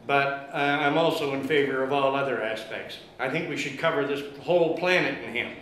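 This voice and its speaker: A man giving a loud, impassioned speech at an activist meeting, rallying the crowd with many fillers. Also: medium pitch, a little fast. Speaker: guest speaker at an activist meeting. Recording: very echoey room with faint noise.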